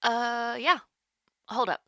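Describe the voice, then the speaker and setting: Woman acting a shy, teasing young woman, bright tone, hesitant, intimate audio roleplay, close dry mic. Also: fairly high pitch, slow, dry recording, full character voice. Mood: sheepish, apologetic. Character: nervous, playful young woman calling her online crush. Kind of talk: intimate audio roleplay, one-sided call.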